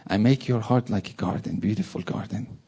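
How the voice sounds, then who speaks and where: A man's voice: fairly low pitch, fairly quick, warm tone, husky. A man from Iran with a Persian accent, giving an informal sermon with jokey asides. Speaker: preacher.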